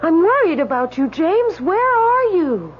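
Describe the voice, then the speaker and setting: Tender, high-voiced spirit woman. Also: big pitch swings, a little hiss or hum, full character voice. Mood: worried, tender. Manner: tender, pleading, drawn-out. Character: gentle, concerned ghostly woman beckoning a loved one. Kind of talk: old-time radio drama acting. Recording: muffled radio recording under loud music.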